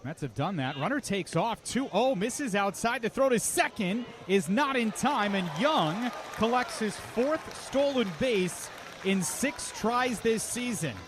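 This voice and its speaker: A man's voice: sits high, expressive, a little slow, a faint crowd. A man calling a baseball game live on radio as the play-by-play announcer, describing the action in detail. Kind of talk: live radio sports commentary. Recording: clear outdoor ambient noise behind. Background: outdoor ballpark ambience.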